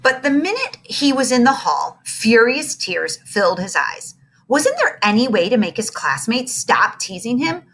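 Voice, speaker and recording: Expressive woman reading a children's book. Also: fairly high pitch, measured pace, poor audio. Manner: expressive storytelling. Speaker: narrator. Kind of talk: children's book read-aloud.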